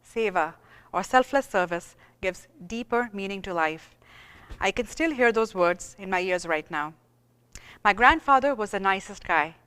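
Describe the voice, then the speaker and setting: A woman delivering a formal oration with earnest, measured phrasing. Her voice is smooth and resonant, mid-pitched. A long hall reverb trails behind her words. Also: lively intonation, a bit bright. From India. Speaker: radiologist giving an oration. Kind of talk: formal lecture.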